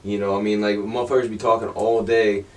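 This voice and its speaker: A man's voice: lowish voice, flat delivery, quick pace, darkish tone, recorded from a distance. A man hosting an interview, talking in an easygoing way, swearing casually and giving short affirmations. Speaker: interview host.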